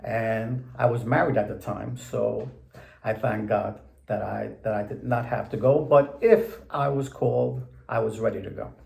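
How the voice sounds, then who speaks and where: A man in his seventies, born in Puerto Rico, recalling his path to the bench in a slow, deliberate, plain-spoken way. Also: fairly low pitch, steady tone, gravelly, darkish tone, reverberant. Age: 70s. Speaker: interviewee judge. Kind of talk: measured recollection in an interview.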